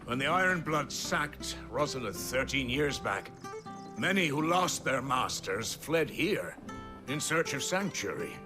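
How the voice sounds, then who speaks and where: An actor playing a genial, effusive village mayor in a video game, with an animated, theatrical delivery. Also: male voice, mid-range voice, measured pace, over music. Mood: grave, explaining. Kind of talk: video game cutscene acting.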